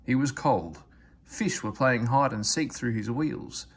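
A man's voice: mid-range voice, expressive, unhurried, husky. A man reading a children's story aloud as scripted narration. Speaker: storybook reader.

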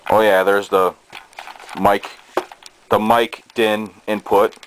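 A man with a fairly low voice talks casually, as if showing items to viewers at home. His delivery is halting and hesitant. It is an amateur indoor camera recording with noticeable background noise. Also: level tone, rough recording. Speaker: hobbyist presenter. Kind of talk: casual show-and-tell of collected gadgets. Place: small cluttered bedroom indoors. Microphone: handheld camera's built-in microphone.

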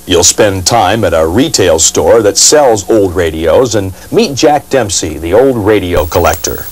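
A man's voice: on the low side, rough recording. An American man hosting and narrating a TV program in a scripted documentary style. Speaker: TV program host, radio collector.

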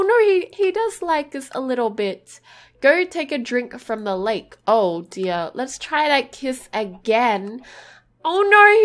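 A woman's voice: high-pitched, fairly bright tone. A woman hosting a gaming channel, chatting bubbly and casually through her gameplay while sniffly with a cold, with many ums and little gasps.

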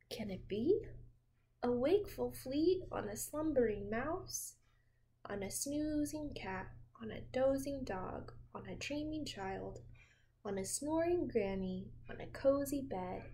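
Female storytime teacher, twenties, high-pitched voice, unhurried gentle delivery for little kids. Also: rough recording. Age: 20s. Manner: slow, gentle, expressive, addressing young listeners with questions. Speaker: teacher reading storytime to children. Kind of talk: read-aloud picture book storytelling for young children. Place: small home room with windows, indoors.

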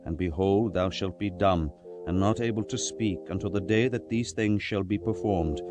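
An actor playing a solemn, majestic male angel in a dramatized scripture reading, speaking in a grave, measured, proclaiming way. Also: low-pitched, close to an everyday voice. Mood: stern. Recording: music behind the voice.

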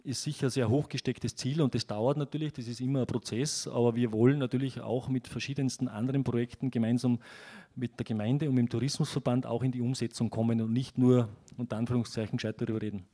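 A middle-aged man speaking into a handheld mic in a conference hall, talking in a measured way with many fillers. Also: medium pitch, steady tone, warm tone. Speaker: event organizer. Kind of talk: panel talk on stage.

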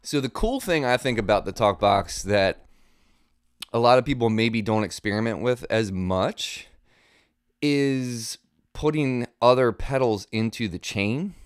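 A man's voice: medium pitch, lively intonation, a little slow, clean tone, no room sound. A man in his thirties giving a casual, informal guitar gear demo, thinking aloud as he explains, close on a studio mic. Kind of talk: casual instructional chat. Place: small home studio room. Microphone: close studio mic on boom arm.

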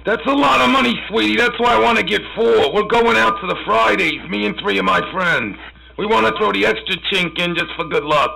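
A man's voice: high voice, brisk, creaky. A man playing a sleazy, brash customer haggling in a prank phone call. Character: sleazy, haggling male customer planning a bachelor party. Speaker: prank caller.

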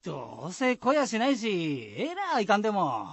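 Animated, resigned village host. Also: female voice, medium pitch, moderate pace, breathy edge, dry recording. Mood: dismissive. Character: resigned villager hosting the gathering. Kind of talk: radio drama acting.

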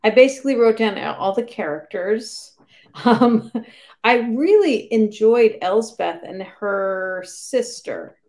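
A woman's voice: fairly high pitch, lively intonation, slow pace, a little mellow. A woman in her thirties chatting casually and hesitantly about books on a video call, understated in manner. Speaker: book club co-host. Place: small room at home.

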